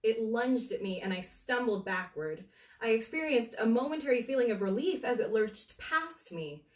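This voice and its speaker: A woman reads a humorous story aloud as a storyteller, in a deliberate, dramatic way with suspenseful pauses. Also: a little high, animated. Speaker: bookseller storyteller reading aloud. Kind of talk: animated bedtime story reading of a humorous book. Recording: roomy, echoey, distant from the mic.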